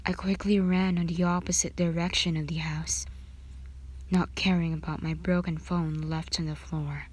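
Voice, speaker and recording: A woman narrating a scripted fan fiction story in ASMR style, breathy and often half-whispered. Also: lowish voice, flat delivery, moderate pace, a little mellow.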